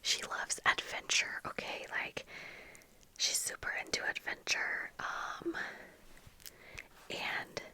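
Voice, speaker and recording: Young woman whispering slowly, very crisp sibilance. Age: young adult. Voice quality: breathy whisper. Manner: soft, unhurried, casual rambling. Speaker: ASMR video creator. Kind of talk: whispered ASMR, casual get-ready-with-me chat. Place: small indoor room at home with bookshelves. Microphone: close fluffy-covered microphone, monitored on earphones.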